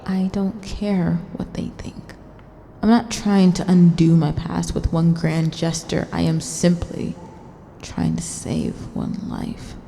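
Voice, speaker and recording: Woman acting a gravelly, sardonic supervillain woman. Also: fairly low pitch, animated, slow pace, warm tone, a little hiss or hum, heavily acted. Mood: firm, defiant. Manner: dry, sarcastic, self-assured. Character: sardonic, cocky supervillain woman, grudgingly honourable. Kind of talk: first-person radio drama monologue and dialogue.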